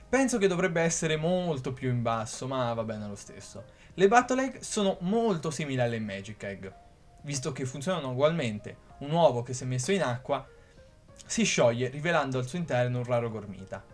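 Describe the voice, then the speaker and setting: A young man hosting his channel, reading a script while feverish, hesitant, stumbling and trailing off. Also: medium pitch. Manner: hesitant, restarts words, trails off quietly. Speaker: channel host explaining a meme.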